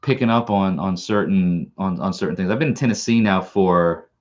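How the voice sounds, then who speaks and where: Man, long island accent, low-pitched, rambling live-stream chat, dull lo-fi sound. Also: moderate pace, a little mellow. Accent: Long Island New York. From Long Island, New York. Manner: rambling, with many repeated words and restarts. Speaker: channel host.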